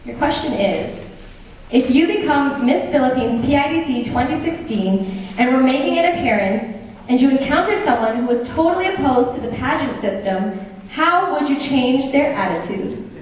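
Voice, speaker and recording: A woman's voice: high voice, expressive, normal speed, a little hiss or hum. A woman reading pageant questions aloud to the candidates. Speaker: question reader. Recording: echoey theatre from far off.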